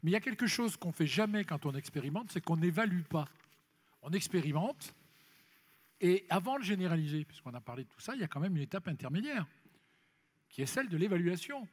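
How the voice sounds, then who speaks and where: Emphatic higher-voiced man in a reverberant hall. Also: animated, fairly quick, slightly rough. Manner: emphatic, repeats phrases for stress. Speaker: elected official speaking as congress panellist. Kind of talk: impromptu panel discussion at a congress. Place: large congress hall plenary session. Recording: reverberant large hall, amplified speech.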